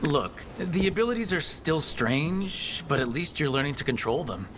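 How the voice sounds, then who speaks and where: An actor playing an easygoing adventurer leader in a video game, relaxed, encouraging and playfully teasing. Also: male voice, a little high, normal speed, very noisy, natural voice. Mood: supportive. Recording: game music behind the voice.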